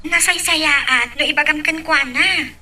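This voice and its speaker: A woman's voice: high voice, measured pace, bright, forceful tone, heavily acted. An actress playing a supportive young female friend in an Ilocano radio drama, advising and reassuring. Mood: concerned. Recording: distant from the mic.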